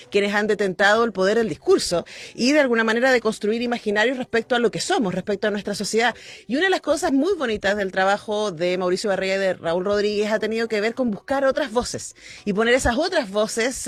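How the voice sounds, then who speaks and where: A woman with a Chilean accent interviewing conversationally as a radio host, reflective and often seeking agreement with tag questions. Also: a little high.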